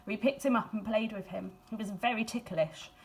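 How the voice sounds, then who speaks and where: A woman reads a children's storybook aloud expressively, in a measured storytelling manner with dramatic emphasis and hushed phrases. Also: medium pitch, rough recording. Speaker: school staff member reading a picture book aloud.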